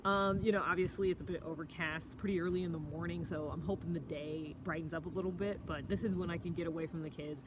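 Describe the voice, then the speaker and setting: A woman talking casually to camera in a hushed early-morning voice, recording outdoors by a fire pit with a mountain view. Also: fairly low pitch, fairly even intonation, fast talker, hardly any pauses. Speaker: vlogger. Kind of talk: casual reflective talk to camera. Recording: outdoor ambience with background noise.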